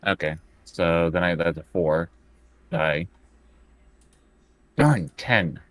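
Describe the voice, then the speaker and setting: A man's voice: low voice, very animated, takes it slowly, no echo. A man chatting casually as a tabletop roleplaying player, thinking aloud and working through his choices bit by bit.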